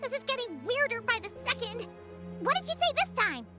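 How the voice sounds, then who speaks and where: Game role: little excitable companion, very high-pitched, blunt, inquisitive, animated. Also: female voice, a little fast, loud music behind the voice. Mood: bewildered. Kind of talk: animated video game voice acting.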